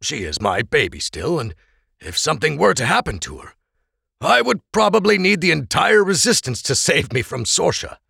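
A man voicing a weary, protective middle-aged rebel leader and father, speaking in an earnest, confiding way with a gravelly voice. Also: on the high side, sing-song, normal speed, pushed and bright, dry recording, studio quality, pitched above the performer's own voice, full character voice.

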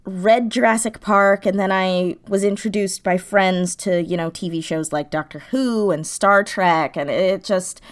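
A woman's voice: medium pitch, fairly even intonation, measured pace, hardly any pauses. A woman in her twenties, chatting casually as an interview guest in an enthusiastic, informal way. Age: 20s. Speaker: interview guest, audio drama creator.

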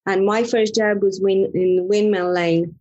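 A woman's voice: mid-range voice, fairly even intonation, unhurried, warm tone, dull audio. A middle-aged woman from Romania with a Romanian accent, talking as a podcast guest in an earnest, thoughtful way with frequent restarts. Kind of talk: reflective conversation.

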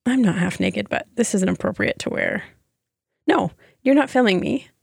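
A woman's voice: mid-range voice, lively intonation, normal speed, gravelly, no echo, clean studio sound. A young adult woman, co-hosting a podcast, teasing her partner in giggly casual chat. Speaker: podcast co-host.

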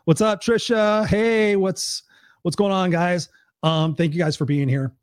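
Male live-stream host and life coach, hoarse, pitched a bit high, profane and rambling, dry sound. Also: steady tone, measured pace, no room sound. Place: home.

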